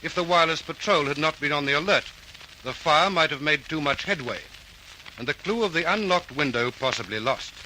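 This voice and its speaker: A man's voice: on the high side, bright, forceful tone, low-quality recording. A man reading formal, earnest narration for an old radio crime drama. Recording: old radio recording with hiss.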